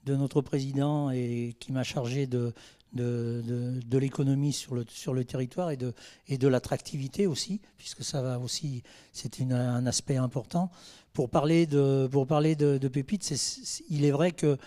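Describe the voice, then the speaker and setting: Man, mellow relaxed tone, medium pitch, halting panel answer, repeated words, local mayor. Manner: halting, often repeats words while searching.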